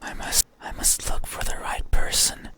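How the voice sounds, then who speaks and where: A performer voicing an eerie, mysterious being in a horror game, menacing yet sorrowful, speaking in a breathy, halting, insistent whisper. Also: lightly acted. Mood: mournful. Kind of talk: horror visual novel voice acting.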